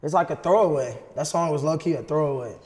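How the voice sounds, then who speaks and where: A man's voice: fairly high pitch, quick pace, darkish tone. A man in his thirties from Indiana chatting casually as a guest, with loose, slangy phrasing. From South Bend, Indiana, United States. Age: 30s. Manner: slangy, loose, frequent "you know". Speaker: podcast guest, rapper and music producer. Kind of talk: casual chat. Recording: reverberant room.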